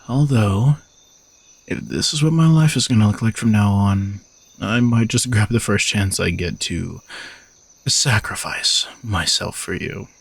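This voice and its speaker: A man's voice: fairly low pitch, unhurried, gravelly, husky, no echo, heavily acted. A man playing an arrogant, sardonic knight who is a reluctant royal bodyguard, teasing and condescending in a romantic audio roleplay. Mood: grudging, dry. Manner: teasing, dry, exasperated sighs, condescending.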